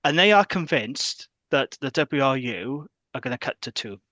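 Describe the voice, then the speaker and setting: A man's voice: medium pitch, animated, moderate pace, bright, forceful tone, no echo, highs cut off. A man co-hosting a rugby podcast, making his points forthrightly and repeating them for emphasis. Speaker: podcast co-host. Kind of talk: casual rugby chat.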